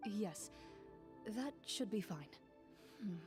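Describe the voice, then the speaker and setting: A woman voicing a composed, reserved young female duelist, measured and formal, in an anime game. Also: medium pitch, lively intonation. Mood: reserved, calm. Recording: music behind the voice.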